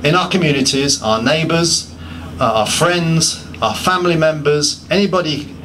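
A man's voice: a little high, moderate pace, fairly bright tone, a little hiss or hum, rough recording. A man giving a public talk, listing points emphatically and often restarting his sentences with self-deprecating asides. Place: pub function room.

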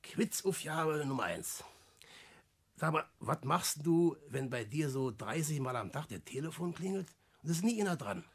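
Husky, cocky old crook. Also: male voice, on the high side, animated, moderate pace. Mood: playful, lecturing. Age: older. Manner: cocky, colloquial, lecturing. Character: cocky ageing small-time schemer, full of himself. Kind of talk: 1960s German radio play acting.

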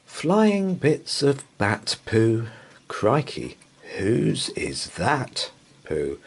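A man's voice: on the low side, darkish tone. A man reading a children's bedtime story aloud in a playful, theatrical way. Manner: playful, theatrical, drawn-out delivery. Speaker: storybook reader.

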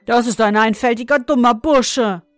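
A woman voicing a rough, scornful young boy who demands his toy back. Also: a little high, normal speed, no room sound, faint music behind, natural voice.

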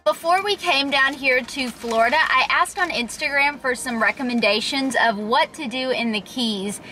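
A woman's voice: high-pitched, moderate pace, sharp tone, soft background music. A young woman chatting upbeat to a handheld camera in a car and outdoors, as a travel vlogger. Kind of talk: casual travel vlog. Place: parked car, then outdoors on a pier and bridge. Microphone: handheld camera at arm's length.

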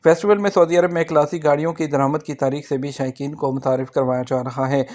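A man's voice: on the high side, fairly even intonation, fast, darkish tone. A man reading scripted news items as a narrator for an online channel, in a formal manner.

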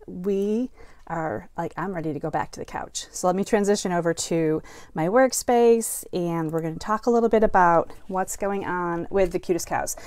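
A middle-aged woman teaching a live card-making class from her craft room, chatting and greeting viewers as she demonstrates, feeling a bit foggy and slow today. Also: mid-range voice, clean tone, no echo.

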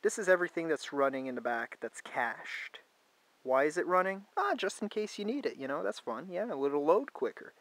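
A man's voice: on the high side, very animated, a little fast, a bit bright. A man with a stuffed-up, congested voice, casually talking through phone settings in a hands-on review, thinking aloud and often restarting. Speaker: tech reviewer.

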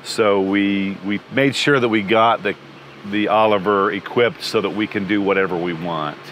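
A man in his sixties talks easily about his travels, his voice sitting low and friendly. He rambles and restarts as he goes, sitting outdoors beside a trailer. A faint outdoor hiss stays under him. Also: steady tone, normal speed, a bit bright. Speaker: trailer owner interviewee. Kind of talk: casual interview answers.

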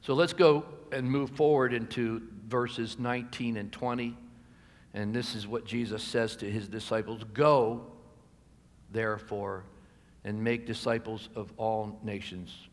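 An American man in his sixties gives a sermon as a pastor, measured and deliberate, pausing for emphasis and letting phrases fall softer. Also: lowish voice, steady tone. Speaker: pastor preaching. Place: church sanctuary at a pulpit. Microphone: gooseneck pulpit microphone. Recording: reverberant hall, amplified pulpit sound.

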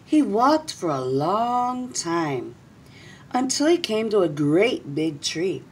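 A middle-aged woman reading a children's story aloud expressively, with dramatic pauses and drawn-out words. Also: mid-range voice, very animated, darkish tone, slight background noise. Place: small indoor room.

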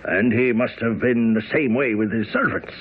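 An actor playing a smug, jovial Scotland Yard inspector in a radio drama. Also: male voice, mid-range voice, moderate pace, a little hiss or hum, natural voice. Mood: cocksure, skeptical. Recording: tinny band-limited radio broadcast.

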